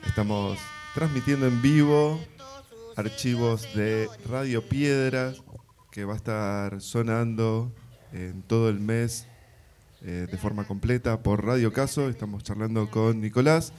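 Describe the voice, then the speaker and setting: A man's voice: fairly low pitch, measured pace, a little mellow. Argentine man hosting a radio interview.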